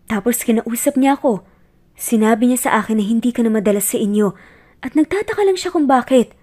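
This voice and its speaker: Remorseful male lover read by a high-voiced woman. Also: lively intonation, brisk, darkish tone. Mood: earnest, explaining. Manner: earnest, tender, pleading. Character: gentle, remorseful man pleading with former girlfriend. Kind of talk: romance audio story acting.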